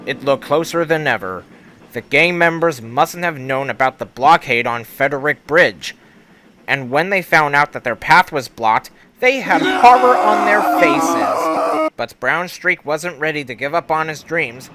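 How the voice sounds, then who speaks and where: A man reading a scripted children's story as narrator, expressive, with deadpan understatement. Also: fairly high pitch, rough recording. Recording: music under the voice. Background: music.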